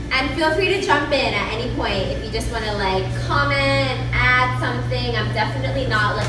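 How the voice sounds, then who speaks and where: Casual woman speaking to a room from afar. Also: fairly high pitch. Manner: chatty, informal, many fillers. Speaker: guest speaker. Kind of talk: casual workshop talk. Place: room with a small audience. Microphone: room mic, no handheld mic. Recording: echoey room from far off, background noise.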